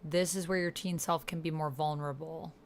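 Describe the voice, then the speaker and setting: A woman's voice: sits low, fairly even intonation, a little fast, slight background noise. A woman hosting an online roleplaying game playthrough, explaining things casually and informally as she thinks aloud. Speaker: host and player of an online text roleplaying game. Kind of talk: casual explanatory chat while playing, with some reading aloud.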